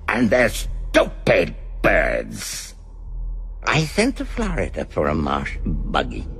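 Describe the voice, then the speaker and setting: An actor playing a cold, boastful, menacing criminal mastermind in a radio drama, with theatrical, deliberate delivery. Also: male voice, very deep voice, creaky, a bit bright, a little hiss or hum, exaggerated voice. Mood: contemptuous. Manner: slow, deliberate, theatrical, grandiose.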